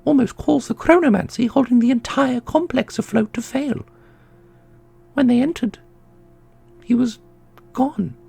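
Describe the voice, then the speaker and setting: A woman playing an ancient female custodian in a fantasy audio drama, dignified and sorrowful, speaking in a formal, measured, gentle way. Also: high-pitched, gravelly, breathy edge, faint music behind, exaggerated voice. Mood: sorrowful, solemn.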